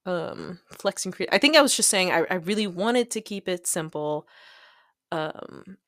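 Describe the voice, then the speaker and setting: A woman's voice: lowish voice, lively intonation, measured pace, dry recording, clean studio sound. A woman chatting casually and digressively over a hobby craft video, self-deprecating and giggly, often voicing her own thoughts as she goes. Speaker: hobby planner video creator.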